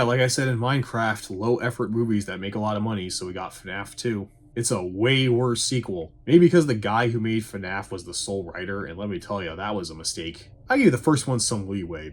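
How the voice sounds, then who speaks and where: A man's voice: fairly low pitch, expressive, fairly quick, few pauses, darkish tone. A man giving a casual, opinionated movie review in a wry, sarcastic, conversational way. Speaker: film reviewer and host.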